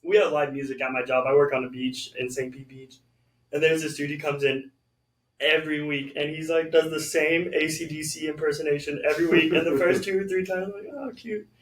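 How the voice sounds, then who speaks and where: A man's voice: on the high side, steady tone, brisk. A man hosting a podcast, chatting in a laid-back, slangy way full of "like". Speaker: podcast host. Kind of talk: casual interview chat. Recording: roomy echo, distant mic.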